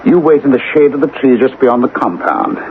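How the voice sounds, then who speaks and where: An actor playing a shrewd, commanding ethnologist who gives insistent instructions in a radio drama. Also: male voice, medium pitch, animated, brisk, close to an everyday voice. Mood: urgent, commanding. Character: shrewd, commanding male ethnologist scheming for a friend. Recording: noisy, narrow-band old radio recording.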